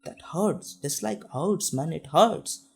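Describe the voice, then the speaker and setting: A man's voice: a little high, expressive, slow, creaky, a little mellow, faint music behind. A young man hosting a casual tech news roundup, chatty and self-deprecating, with frequent restarts and asides. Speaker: tech news show host.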